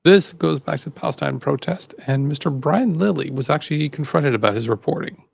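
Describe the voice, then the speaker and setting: Gravelly laid-back man host. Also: a little high, fairly quick. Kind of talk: casual podcast chat.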